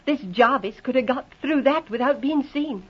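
A woman's voice: sits high, moderate pace, breathy edge. An actress playing an earnest, respectful young woman in mourning, speaking deferentially in an old radio drama. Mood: earnest, insistent. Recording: muffled old 1940s radio recording.

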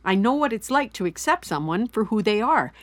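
Anxious older mom in an audio drama, kinda pushy and rambly, very up and down, close dry sound. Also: female voice, mid-range voice, big pitch swings, fairly quick, dry recording. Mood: insistent, prying. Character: anxious, guilt-ridden older mother, talkative and meddling. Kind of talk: naturalistic audio drama acting.

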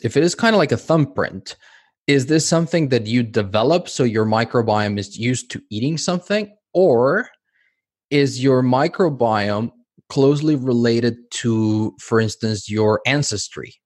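A man's voice: medium pitch, lively intonation, moderate pace, a little mellow, dry recording, studio quality. A man from Mexico in his thirties, hosting a podcast and asking long, winding questions that he rephrases as he goes. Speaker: podcast host. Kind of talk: conversational interview.

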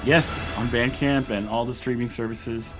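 A man's voice: mid-range voice, steady tone, normal speed, music in the background, low-quality recording. Playful male podcast co-host, mid-range voice. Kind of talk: casual banter.

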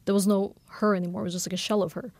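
Novelist guest, woman in her thirties, quick, candid, lots of "you know", some vocal fry, dry studio sound. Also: mid-range voice, no room sound. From Bangladesh. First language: Norwegian. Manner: fast, candid, animated with many fillers. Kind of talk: conversational radio interview.

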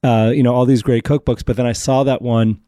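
A man hosts a relaxed sit-down chat, circling around his questions in a friendly, easygoing way. His voice has a mellow, darker tone and a mid-range pitch, recorded dry and close. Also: brisk, dry recording. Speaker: show host. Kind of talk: casual interview chat.

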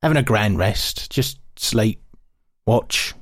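A man's voice: fairly low pitch, lively intonation, takes it slowly, no room sound, studio quality. An actor playing a cheerful British sergeant major reading his wartime diary in short, chatty phrases, savouring small pleasures. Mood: cheerful, contented.

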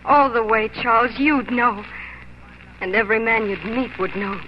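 An actress playing a proud, steadfast young pioneer wife in a 1940s radio drama, earnest and heartfelt. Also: female voice, a little high, moderate pace, slight background noise, lightly acted. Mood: earnest, determined. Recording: dull 1940s radio recording.

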